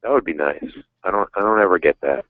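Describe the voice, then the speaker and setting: A man's voice: low voice, quick pace, no echo. A man in his thirties calling in, chatting casually and frankly about himself with some self-mockery. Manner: frank, self-deprecating, rambling. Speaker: caller. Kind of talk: casual chat. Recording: dull phone line.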